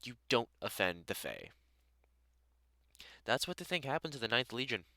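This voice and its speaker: A man's voice: medium pitch, wildly swooping pitch, normal speed, sharp tone, no room sound, heavily acted. A British man playing a dry, sarcastic vampire hunter in a conversational audio roleplay, chatting informally to the listener. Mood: measured, storytelling. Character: gruff, sardonic but welcoming male vampire hunter.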